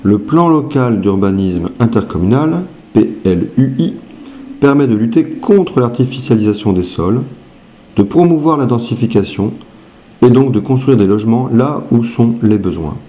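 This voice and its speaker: A man reads prepared written statements aloud. His voice sits at an average pitch and has a mellow, dark tone. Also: lively intonation, moderate pace. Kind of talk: scripted reading of written statements.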